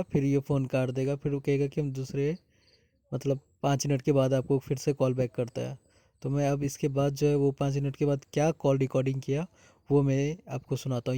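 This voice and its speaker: A man's voice: mid-range voice, dark tone, no room sound. An Indian man explaining informally to his viewers, speaking earnestly and repeating his points as a vlogger. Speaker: vlogger exposing a scam.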